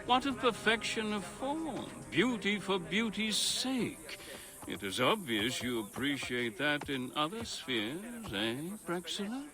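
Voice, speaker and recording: An actor playing an effusive Roman architect and scholar, speaking in a grandiloquent, theatrical way for a video game. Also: male voice, high voice, big pitch swings, slow, music in the background, heavily acted. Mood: lofty, jovial. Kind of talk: video game cutscene acting.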